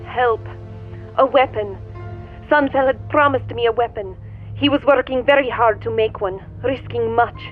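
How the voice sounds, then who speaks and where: A woman playing an imperious, mysterious woman from another universe in a pulp radio drama, her sweet, deliberate voice heard through a radio filter. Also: on the high side. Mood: solemn, intent. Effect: radio transmission filter. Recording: thin radio-filtered voice, narrow band. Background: music.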